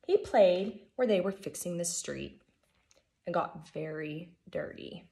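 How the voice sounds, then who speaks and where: An American woman in her thirties, a first grade teacher reading a children's storybook aloud in an expressive, emphatic way. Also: on the low side, slow pace, vocal fry. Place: living room at home, indoors. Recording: echoey room.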